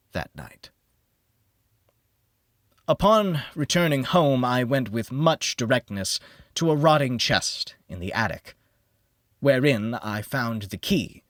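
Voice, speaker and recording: A man narrating a gothic horror audiobook in a solemn, theatrical manner with deliberate pauses. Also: medium pitch, fairly bright tone, no room sound, clean studio sound.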